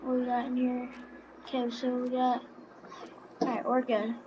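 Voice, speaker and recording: Female gaming YouTuber, highish voice, unhurried and halting, casual roleplay commentary, lo-fi recording with faint vehicle noise. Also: completely flat delivery. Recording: dull lo-fi mic, faint background noise. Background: vehicle engine sounds from the game.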